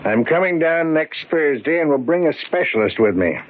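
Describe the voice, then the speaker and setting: Guy playing a calculating gentleman villain on old radio, formal and measured, thin boxy sound. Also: a little high, animated, lightly acted. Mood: coolly calculating. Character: smooth, scheming brother plotting against his sister. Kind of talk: old-time radio thriller acting. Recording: narrow-band old radio recording.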